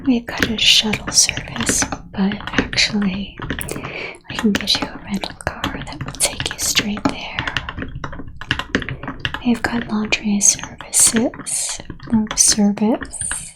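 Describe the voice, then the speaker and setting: A woman playing a calm, courteous female ghost from the 1930s working as an airline gate agent in a soft-spoken ASMR role-play, with a breathy, mostly whispered, gentle delivery. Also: mid-range voice, natural voice, rough recording. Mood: polite, attentive. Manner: gentle, courteous, unhurried customer-service delivery.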